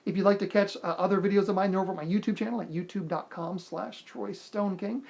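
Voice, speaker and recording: A man's voice: high-pitched, steady tone, fast talker, creaky, darkish tone. Earnest man giving motivational advice. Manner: earnest, repeats key lines for emphasis; encouraging, speaks directly to the viewer. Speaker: motivational video host. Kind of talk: motivational self-help talk to camera.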